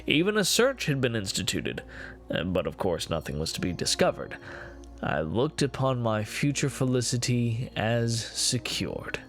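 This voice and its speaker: With music behind him, a man voices an unhinged murderer telling his own tale of guilt. He speaks at a middling pitch in ornate, deliberate phrases. The delivery is theatrical gothic horror, gloating and swelling with emphasis. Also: big pitch swings, fairly bright tone. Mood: smug calm. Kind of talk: dramatic gothic horror reading.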